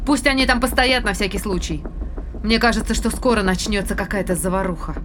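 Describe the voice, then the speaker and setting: A woman's voice: medium pitch, a little fast, a bit bright, slight background noise, lightly acted. A woman playing a questioning, practical spaceship crew member in a science fiction audio drama. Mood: wary, practical. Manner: questioning, conversational. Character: captain's female crewmate, questioning, wary, practical.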